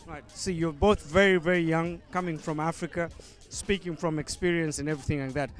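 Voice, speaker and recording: A man interviewing people at an event, halting with frequent fillers and restarts. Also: on the high side, fairly even intonation, faint music behind. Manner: hesitant, many ums and restarts.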